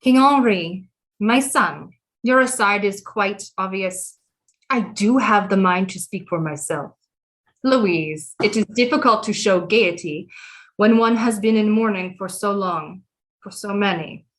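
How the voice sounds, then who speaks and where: A woman's voice: medium pitch, measured pace, a bit bright, close to an everyday voice, rough recording. A woman playing a proud, grieving Queen Mother in a period audio drama, formal and stately. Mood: proud, mournful. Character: grieving, proud Queen Mother, middle-aged, stern and regal.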